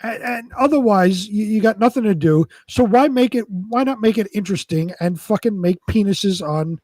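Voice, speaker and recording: High-pitched man in casual banter. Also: normal speed, darkish tone, no room sound. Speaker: co-host of a live comedy talk show.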